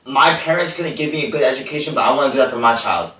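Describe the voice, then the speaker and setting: A teenage guy, Korean his first language, chatting casually and animatedly on a podcast with plenty of "like" fillers. He sits back from the mic in a roomy, echoing space, and his voice sits at a middling pitch. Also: fast, soft background music. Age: teens. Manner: animated storytelling, frequent "like" fillers. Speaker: podcast host. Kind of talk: casual chat. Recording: echoey room, distant mic.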